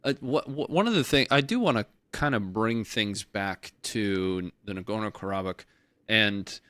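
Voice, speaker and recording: A man hosting an interview, talking analytically and haltingly, with many restarts and filler phrases. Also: lowish voice, a bit bright, dry recording. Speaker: interview host. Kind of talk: casual interview talk.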